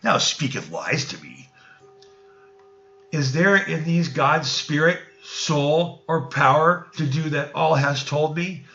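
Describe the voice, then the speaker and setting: A man's voice: a little high, animated, slow, bright, forceful tone, heavily acted. A man voicing an angry, accusing older father in a scripture reading, with emphatic delivery, in a lo-fi recording. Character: angry older father, stern idol maker. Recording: dull, lo-fi sound.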